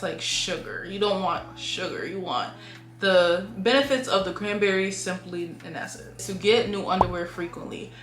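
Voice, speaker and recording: A woman's voice: medium pitch, a bit bright, reverberant. A young woman giving frank, chatty advice straight to her viewers, full of "like" and "y'all". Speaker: beauty and lifestyle vlogger. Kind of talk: casual advice monologue to camera.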